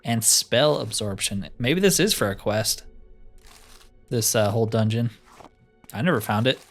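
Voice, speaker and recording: A man's voice: mid-range voice, measured pace, faint music behind. A man giving casual gameplay commentary in a laid-back way, musing to himself as he plays. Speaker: gaming streamer.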